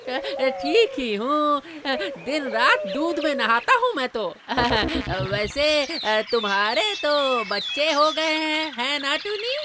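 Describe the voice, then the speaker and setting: High, swooping, scheming tomcat, acted by a woman. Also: big pitch swings, slow pace, hardly any pauses, heavy background noise, heavily acted. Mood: flattered, gloating. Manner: scheming, pompous, hesitant when covering up. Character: sly, greedy, boastful tomcat hungry for chicks. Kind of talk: animated children's story voice acting. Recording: background music.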